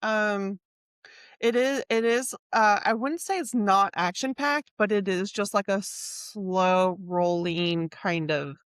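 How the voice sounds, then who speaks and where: A woman's voice: mid-range voice, slow, no room sound. A woman chatting casually as co-host of a book podcast. She rambles, loses her train of thought and laughs a lot. Her voice sits mid-range and sounds dry and close. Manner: rambling, laughs often, trails off mid-sentence. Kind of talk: casual chat about books.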